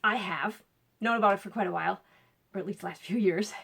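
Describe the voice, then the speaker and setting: A woman's voice: mid-range voice, fast talker, creaky, a bit bright, husky. Chatty Minnesotan woman coach. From Minnesota, USA. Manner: chatty, upbeat, with little laughs and fillers. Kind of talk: casual direct-to-camera talk.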